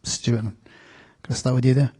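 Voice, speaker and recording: A male moderator with a mellow, slightly husky voice asks panelists questions politely, in a measured way. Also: medium pitch, level tone.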